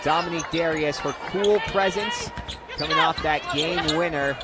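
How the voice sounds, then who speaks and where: A man's voice: a little high, moderate pace. A young man calling live basketball play-by-play on radio with energy, raising his voice for big plays. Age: young adult. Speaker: play-by-play sports commentator. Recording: loud crowd and gym noise behind.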